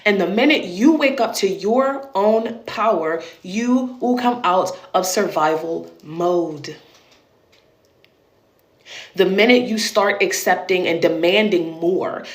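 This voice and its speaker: A woman with a deep voice, talking straight to camera in a blunt, emphatic monologue with plenty of swearing. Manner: blunt, emphatic and profane, with repeated punchy phrases. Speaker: online creator and educator. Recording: roomy echo.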